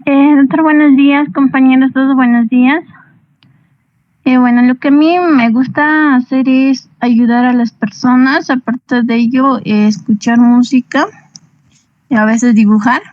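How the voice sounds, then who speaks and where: A woman's voice: high-pitched, steady tone, unhurried, darkish tone, no room sound, dull audio. A woman student in an online class explaining carefully what she likes and dislikes. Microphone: video call.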